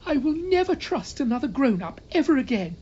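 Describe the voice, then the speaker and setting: A man acting out a naive young English toff from a comic storybook, indignant and close to tears. The voice leaps high and swoops about, on a dull, slightly noisy recording. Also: big pitch swings, brisk, a little mellow, slightly rough, exaggerated voice. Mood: bitter, tearful. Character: naive, excitable upper-class young Englishman, tearful and indignant.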